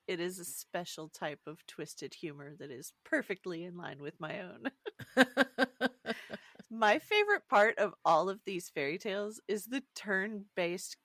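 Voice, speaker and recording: Lowish-voiced woman co-host, dry sound. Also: expressive, unhurried, a bit bright, dry recording. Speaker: podcast co-host. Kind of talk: casual book discussion.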